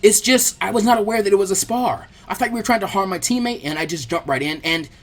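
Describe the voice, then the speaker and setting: A storyteller voicing an earnest, over-excitable teenage boy martial artist who speaks in formal, emphatic declarations. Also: medium pitch, fast talker, voice pushed up, lightly acted. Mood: frantically apologetic.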